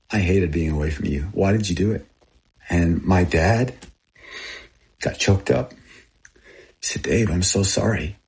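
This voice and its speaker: Man, 60s, raised in Thailand, native Thai speaker. Earnest, confessional interview storytelling, husky and on the low side. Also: a little mellow. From born in Texas, grew up in Thailand. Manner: earnest, confessional, short plain phrases. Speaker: interviewee, missionary aid group founder.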